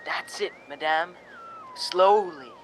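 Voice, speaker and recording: A man's voice: sits high, slow pace, background noise. An actor playing a fussy film director in a radio drama, coaxing his leading lady with theatrical short commands. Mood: businesslike.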